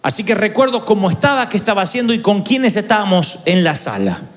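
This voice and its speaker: A man's voice: high voice, big pitch swings, a little fast. A man in his forties with an Argentine accent, preaching a sermon in a church and telling stories with humor, acting out the voices he quotes. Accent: Argentine Spanish. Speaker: pastor.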